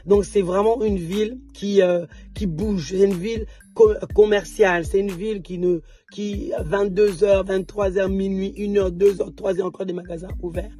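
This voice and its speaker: A slightly hoarse woman, chatting to her vlog viewers in a mellow, warm voice. She talks casually, often restarting and repeating words. Soft music plays faintly behind her. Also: mid-range voice, fairly even intonation, normal speed. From DR Congo. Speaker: entrepreneur and vlogger.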